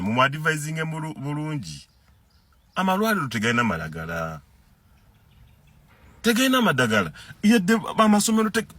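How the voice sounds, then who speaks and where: Heated Ugandan man, animated and husky. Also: on the high side, no room sound. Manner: animated, repeats words and stumbles when heated. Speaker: concerned citizen commentator. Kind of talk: impassioned political rant to camera.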